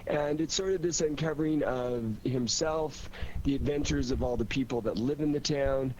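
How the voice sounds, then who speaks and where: A man's voice: mid-range voice, moderate pace, a little mellow, lo-fi sound. A man talking casually and enthusiastically in an interview as a writer of radio drama, in a rambling storytelling way. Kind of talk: casual conversational interview talk. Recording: noisy recording with background hum.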